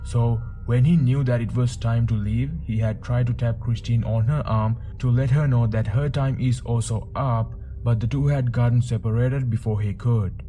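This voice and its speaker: A man narrating a true disaster story as a channel host, in a steady storytelling manner with asides to the viewers. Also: mid-range voice, normal speed, few pauses. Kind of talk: scripted documentary narration. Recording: faint music bed under narration.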